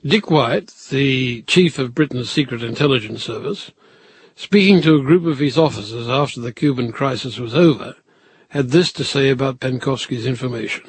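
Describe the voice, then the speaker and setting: A man with a rough, husky voice of medium pitch explains history. His commentary is thoughtful and deliberate, with emphasis on key points. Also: no echo, poor audio.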